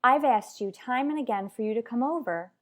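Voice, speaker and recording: A woman's voice: fairly high pitch, expressive, a little fast, close to an everyday voice. A woman playing an anxious, unhappily married young wife in a radio soap drama, defensive with her family. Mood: hurt, defensive.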